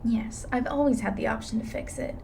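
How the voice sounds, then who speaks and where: A woman playing a blunt, weary, reluctantly soft-hearted reaper in an intimate ASMR roleplay, dry and matter-of-fact. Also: fairly high pitch, a little fast, gravelly, a little hiss or hum. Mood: exasperated, grudging.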